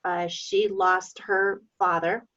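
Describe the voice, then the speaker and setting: American woman, unhurried, mid-range voice, informal, slightly lo-fi call sound. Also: medium pitch, little pitch movement, a bit bright. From Seattle, USA. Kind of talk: casual personal account on a group call.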